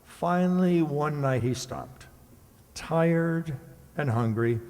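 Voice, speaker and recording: A genial storytelling uncle, played by a man for a children's radio play. His pitch is fairly high and moves widely, and the hall adds long reverb. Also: expressive, slow pace, darkish tone. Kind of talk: old-time radio storytelling.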